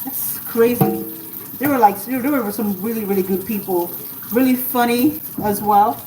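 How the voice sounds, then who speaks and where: A woman's voice: a little high, a little slow, background noise. Chatty woman cooking in an echoey kitchen. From Nigeria. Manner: chatty, rambling, answers viewers while cooking. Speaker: author hosting a livestream. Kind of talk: casual live-stream chat with viewers. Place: home kitchen. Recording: roomy, distant, running tap water.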